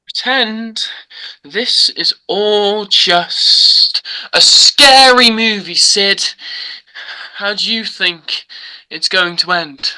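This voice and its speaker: A woman acting an unhinged young male slasher killer in a script read, mocking and menacing, with halting, drawn-out taunts. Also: mid-range voice, pushed and bright, heavily acted, low-quality recording. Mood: taunting. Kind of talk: dramatic script table read.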